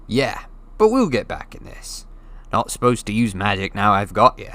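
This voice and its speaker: A man reading an audiobook, voicing a gruff, hearty, friendly giant with rough, folksy, blustery speech. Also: on the low side, very animated, moderate pace, a bit bright. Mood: sheepish, hearty. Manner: folksy, blustery, dropped grammar. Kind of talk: audiobook character voice.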